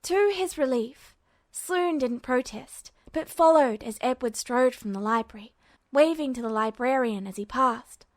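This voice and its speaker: A woman reading a fan fiction audiobook with steady, even storytelling. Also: high-pitched, measured pace, no echo. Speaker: podfic reader.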